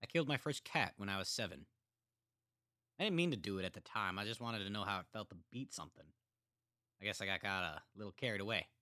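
A man voicing a soldier who calmly tells about his brutal childhood and first killing, in a matter-of-fact, eerie manner. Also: on the low side, fast, dry recording, clean studio sound. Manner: matter-of-fact, eerily calm confession. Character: adult man, soldier, calm unsettling killer.

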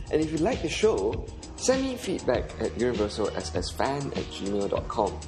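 A man with a Singaporean accent, hosting a podcast and narrating an audio tour with earnest instruction. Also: on the low side. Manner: earnest, instructive, with occasional uh fillers.

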